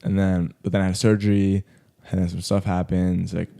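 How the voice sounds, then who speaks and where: Deep, gravelly laid-back young man. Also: level tone, a little fast, mellow. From Colombia, raised in South Florida. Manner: laid-back, heavy use of "like" fillers, slangy. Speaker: photographer guest. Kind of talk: casual podcast interview. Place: podcast studio.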